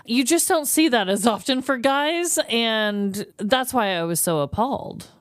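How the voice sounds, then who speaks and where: A woman with a fairly high, very lively voice chats as a comedy podcast co-host. She speaks expressively at an unhurried pace, stacking emphatic lists with casual swearing. The sound is smooth and clear. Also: no echo, studio quality.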